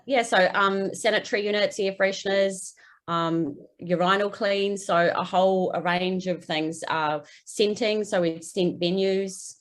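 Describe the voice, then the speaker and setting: A woman's voice: medium pitch, steady tone, measured pace. A woman in her forties with a New Zealand accent, a guest in a video interview, friendly and hesitating with many ums. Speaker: general manager, guest.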